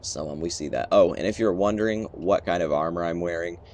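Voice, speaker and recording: A man's voice: sits low, a little fast, a little mellow. A man hosting a gaming video, giving casual, rambling and somewhat hesitant gameplay commentary. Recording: faint music behind.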